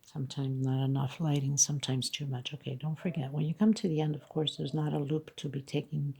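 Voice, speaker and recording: A woman's voice: low voice, little pitch movement, a little fast, creaky, mellow, slightly rough. A woman teaching crochet step by step, counting stitches patiently, her voice congested. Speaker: crochet instructor. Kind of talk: step-by-step craft tutorial.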